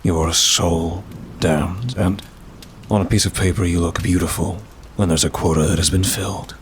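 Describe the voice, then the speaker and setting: A man playing a weary, sardonic demon in an ASMR roleplay, with a dry, haughty delivery and drawn-out sighs. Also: sits low, steady tone, slight background noise, lightly acted. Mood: disdainful. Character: weary, sardonic male demon, aloof bureaucrat of damnation.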